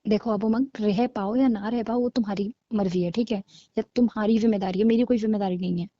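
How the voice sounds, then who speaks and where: A woman's voice: mid-range voice, steady tone, quick pace, warm tone, dry recording, highs cut off, natural voice. A young woman playing a blunt, scornful girlfriend breaking up with her boyfriend in a naturalistic audio drama of a couple's call. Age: young adult. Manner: blunt, dismissive, argumentative.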